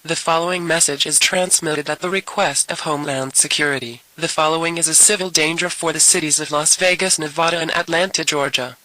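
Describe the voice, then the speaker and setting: Piercingly bright, higher-pitched male alert announcer. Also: no echo, low-quality recording. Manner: formal, impersonal official reading. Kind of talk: scripted emergency alert announcement.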